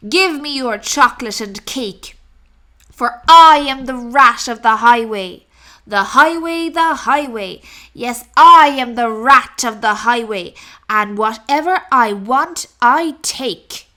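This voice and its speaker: A woman voicing a fearsome, greedy male rat highwayman in a storybook, bellowing and commanding with scorn. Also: animated, slow pace, bright, forceful tone, heavily acted. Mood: menacing, demanding. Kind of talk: storybook character voice.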